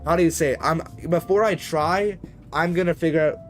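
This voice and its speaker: Guy streaming a flight sim, kinda high voice, rambly casual chat, bit of music behind. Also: a little fast. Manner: rambling, conversational, slangy. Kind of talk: casual live-stream chat while gaming.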